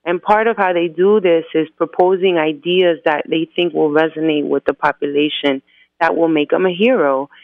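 A woman's voice: deep voice, steady tone, normal speed, no echo. Woman on a tinny phone line. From United States. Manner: earnest, methodical, lays out points in groups. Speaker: activist guest. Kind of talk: explanatory interview answers.

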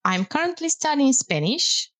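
A woman whose first language is Russian, a language lecturer explaining learning tips in a measured way on a podcast. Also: high-pitched, big pitch swings, a bit bright, dry recording, clean studio sound. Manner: measured, explanatory, with some hesitant fillers.

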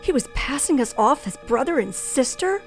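A woman's voice: high voice, lively intonation, moderate pace. An actress playing a frightened woman narrating her own ordeal in a 1940s-style radio thriller, dramatic and suspenseful. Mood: anxious. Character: frightened young woman narrator held captive by killer. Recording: old radio drama with music behind.

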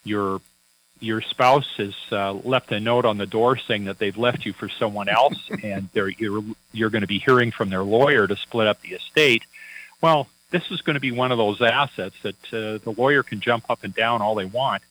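A man's voice: on the low side, moderate pace, fairly bright tone, rough recording. A man working as a financial advisor, chatting on a radio talk show in a folksy, anecdotal way with many fillers and restarts.

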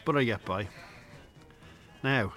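Bright-voiced barman. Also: medium pitch, takes it slowly, soft background music. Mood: affable, tactful. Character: chatty, tactful barman of a quiet local pub. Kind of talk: naturalistic radio drama acting.

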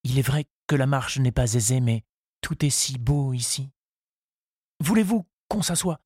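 A man's voice: mid-range voice, expressive, measured pace, a little mellow, slightly rough, dry recording, clean studio sound, pitched above the performer's own voice. A man voicing a shy, earnest young suitor in an audiobook, hesitant and deferential, stammering as he speaks. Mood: solicitous.

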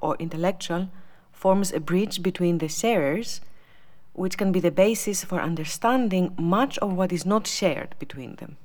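A woman giving a personal spoken reflection in a reflective, measured manner. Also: on the low side, darkish tone. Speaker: exhibition contributor.

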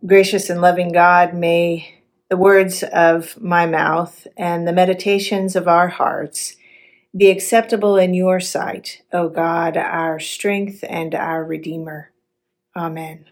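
A woman pastor giving a sermon in a reflective, measured public delivery. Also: lowish voice, flat delivery.

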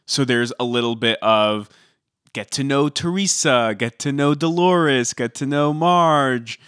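Measured male co-host, dry close sound. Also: mid-range voice, a bit bright, smooth, no room sound. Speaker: podcast co-host. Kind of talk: casual chat.

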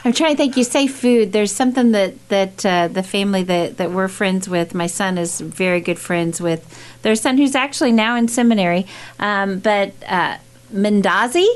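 A woman hosts a relaxed radio interview, chatting with her guest and thinking out loud before each question. Her voice sits in an ordinary middle pitch. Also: moderate pace. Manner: conversational, backchannels often, thinks aloud.

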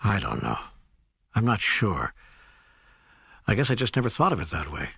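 An earnest, puzzled seeker in an audiobook dialogue. The voice is low, husky and lively in pitch, full of hesitant questioning. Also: male voice, normal speed, dry recording, clean studio sound, full character voice. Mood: unsure. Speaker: author and co-narrator.

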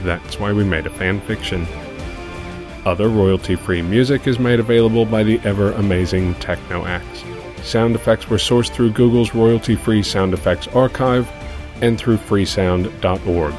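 A man's voice: on the low side, normal speed, music in the background. A man reading scripted closing credits as the show's creator, in a tongue-in-cheek manner. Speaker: writer, director and producer reading closing credits.

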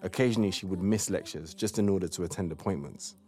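A man's voice: low voice, quick pace, dark tone. A man narrating an audio drama in a scripted storytelling style.

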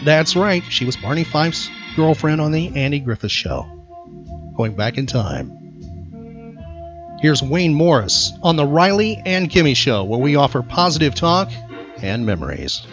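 Higher-voiced man hosting trivia over music. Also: sing-song, measured pace. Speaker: podcast host. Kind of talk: trivia quiz hosting and casual chat. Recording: slightly dull audio, music behind. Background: background music.

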